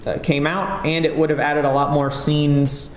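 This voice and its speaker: A man's voice: fairly high pitch, little pitch movement, normal speed, a little hiss or hum. Chatty man in an echoey hall. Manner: chatty, filler-heavy, self-deprecating. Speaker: game developer giving a talk. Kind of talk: conference talk, casual and rambling. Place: conference stage. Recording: echoey hall with faint ambience.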